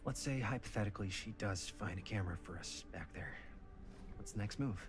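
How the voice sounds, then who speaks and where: An actor playing a wary young man in a horror video game, talking low and hushed while he plans a way back in. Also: brisk, soft background music, close to an everyday voice. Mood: hushed, scheming.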